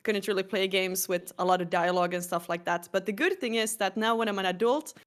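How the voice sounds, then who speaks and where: A woman's voice: medium pitch, fairly quick. Woman, chatty guest. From Finland. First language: Swedish. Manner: chatty, hesitant fillers. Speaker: guest streamer. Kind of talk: casual chat.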